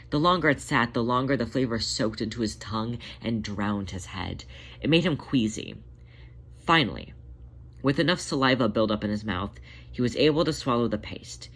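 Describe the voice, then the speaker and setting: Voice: medium pitch, fairly bright tone. A narrator reading a story aloud in scripted, audiobook-style narration.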